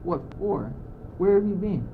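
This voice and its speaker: A man's voice: high-pitched, animated, a little slow. A teenage boy playing a philosophizing, impatient courtier in an amateur radio play, declamatory and exasperated. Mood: reassuring, insistent. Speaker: student actor. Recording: noisy phone-like old school recording.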